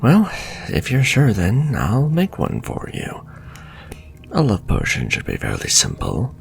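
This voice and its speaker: A man playing a suave, flirtatious, sinister warlock shopkeeper in a fantasy ASMR roleplay, speaking softly and courteously and drifting into breathy whispers. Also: lowish voice, wildly swooping pitch, measured pace, soft background music, exaggerated voice. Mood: sly, bargaining.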